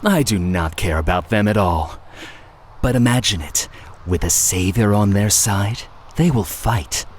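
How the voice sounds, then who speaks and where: An actor playing a scheming, taunting villain who craves chaos, speaking theatrically and condescendingly in a video game. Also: male voice, lowish voice, unhurried, a little hiss or hum, natural voice. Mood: grandiose scheming. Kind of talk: dramatic video game voice acting.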